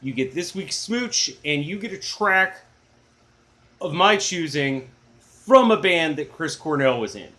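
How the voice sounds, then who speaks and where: Rambling middle-aged man with a booming baritone. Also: expressive, measured pace, sharp tone. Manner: rambling, enthusiastic, self-deprecating. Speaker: music channel host. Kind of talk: casual chatty video blog.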